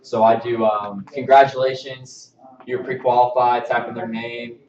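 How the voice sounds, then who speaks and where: Male presenter, hesitant informal delivery, mid-pitched, distant mic, echoey training room. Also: level tone, measured pace, a crowd in the background. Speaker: mortgage loan officer giving staff training. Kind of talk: informal presentation of email templates. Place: indoor training room with projection screen. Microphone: far camera microphone. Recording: distant, roomy, slightly dull mic.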